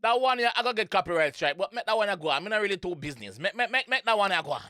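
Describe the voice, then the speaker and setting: A Jamaican host reacting to music in a casual, excitable, playful way, repeating phrases and singing along. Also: mid-range voice, rapid-fire. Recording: music playing in the background.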